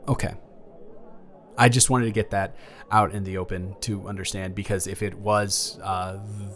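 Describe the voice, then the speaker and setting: A young man playing a caring, awkward ex-boyfriend in an improvised romantic audio roleplay, speaking in a halting, earnest, gently reassuring way. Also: deep voice, flat delivery. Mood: hesitant, probing. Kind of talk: improvised romantic audio roleplay, intimate one-sided conversation. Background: background music.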